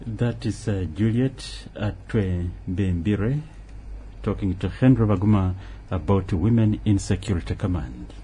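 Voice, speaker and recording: A man's voice: fairly low pitch, measured pace, dark tone, slight background noise. A man with a Ugandan accent reading scripted radio news as the presenter.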